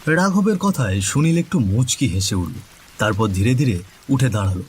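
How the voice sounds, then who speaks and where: A man's voice: mid-range voice, animated, moderate pace. A man narrating a scripted cartoon story as its storyteller. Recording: faint background noise.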